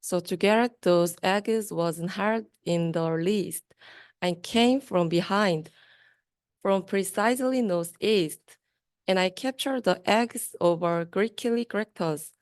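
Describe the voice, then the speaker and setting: A young woman reads a rhyming children's book aloud at a slow pace. Her voice is clear and smooth, and the recording is close and dry. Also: mid-range voice, no room sound. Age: young adult. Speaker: student reader.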